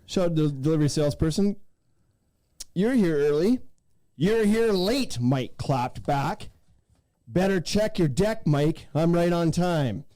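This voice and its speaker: A man's voice: on the high side, lively intonation, slow, mellow, dry recording. A man hosting a casual podcast, reading silly stories aloud in a jokey way and prone to laughing through his sentences. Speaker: podcast host.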